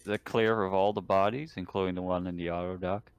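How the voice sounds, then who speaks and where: A man with a low, smooth voice plays a tabletop roleplaying game. He talks casually about his moves in a flat, even tone. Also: quick pace.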